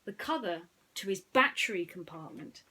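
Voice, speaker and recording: A woman narrating a bedtime story in an expressive, deliberate way, with chatty asides. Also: medium pitch, a little hiss or hum.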